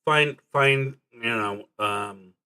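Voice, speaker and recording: A man streaming a solo roleplaying game, thinking aloud and reading prompts in a halting, deliberative manner, sometimes murmuring to himself. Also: mid-range voice, sharp tone, clean tone.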